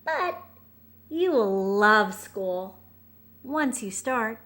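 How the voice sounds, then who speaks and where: Woman as a gentle, slow-spoken mother raccoon. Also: mid-range voice, expressive. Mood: gently encouraging. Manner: gentle, soothing. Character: gentle, reassuring mother raccoon with a teasing streak. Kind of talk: picture book read-aloud.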